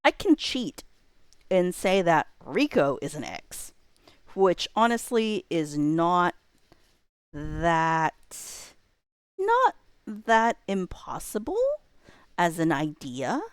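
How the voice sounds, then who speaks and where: A young woman chatting casually on a livestream, thinking out loud and rambling as she weighs her options. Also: on the low side, sing-song, extremely slow, pauses often, smooth, no room sound.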